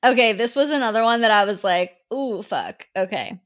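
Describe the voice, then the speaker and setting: A woman's voice: on the high side, lively intonation, normal speed, fairly bright tone. Enthusiastic woman chatting about books. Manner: enthusiastic, profane, laughs a lot. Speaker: podcast co-host. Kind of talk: casual chat.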